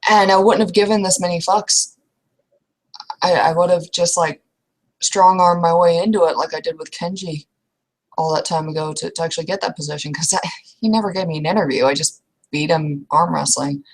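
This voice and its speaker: Woman playing a low-voiced, hesitant, weary young woman. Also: steady tone, low-quality recording. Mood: resigned, unsure. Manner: hesitant, halting, coarse-mouthed. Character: weary, conflicted young female werewolf, blunt former brawler. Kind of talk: improvised in-character roleplay monologue.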